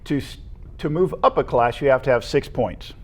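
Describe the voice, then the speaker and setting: An American man co-hosting a local high school sports show, discussing teams in a conversational style. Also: normal speed, a little mellow.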